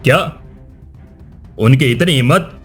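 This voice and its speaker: A man playing a proud older king and father in a cartoon, with measured, declamatory speech. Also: medium pitch, husky, soft background music. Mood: defiant, resolute. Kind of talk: dramatic Hindi cartoon dubbing.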